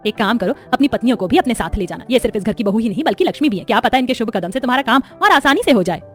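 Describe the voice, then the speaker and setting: Woman acting a bossy, quick-talking mother-in-law. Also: fairly high pitch, lively intonation, husky, soft background music. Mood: advising. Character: exasperated, superstitious matriarch mother-in-law.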